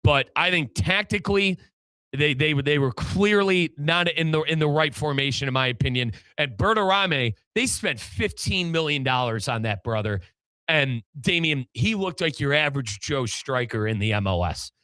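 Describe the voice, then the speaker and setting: A man hosts a sports talk show with a bright, punchy voice pitched a bit high. He delivers opinionated soccer analysis emphatically. The sound is dry and close. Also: lively intonation, moderate pace, clear, resonant tone, no echo.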